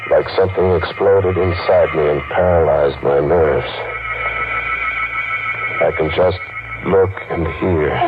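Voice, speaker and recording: Deep-voiced desperate doomed man, dull old radio, music under. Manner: frantic and pleading, then hushed inner monologue. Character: frantic paralyzed man, desperate narrator. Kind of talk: 1940s radio acting.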